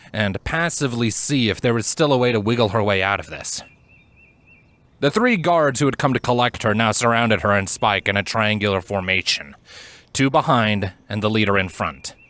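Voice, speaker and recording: A man narrating scripted fiction in a measured, expressive and articulate way. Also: fairly low pitch, no room sound. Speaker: fan fiction narrator and voice actor.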